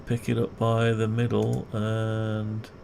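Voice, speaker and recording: Man, low gravelly voice, muttering tutorial, thinking aloud, self-questioning, background noise with keyboard typing. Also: completely flat delivery, slow pace. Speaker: video creator demonstrating CAD design. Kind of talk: unscripted thinking aloud while working.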